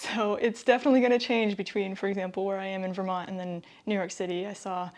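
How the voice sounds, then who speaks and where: An American woman in her thirties from the East Coast, chatting casually and excitedly to viewers as a vlogger. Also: mid-range voice, quick pace. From Connecticut, US East Coast. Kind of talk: casual vlog talk to viewers.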